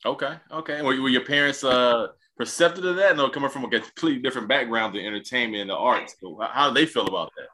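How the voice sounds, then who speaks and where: Bright-voiced casual male interviewer. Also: mid-range voice, fast, few pauses. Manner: enthusiastic, stammering restarts, casual slang.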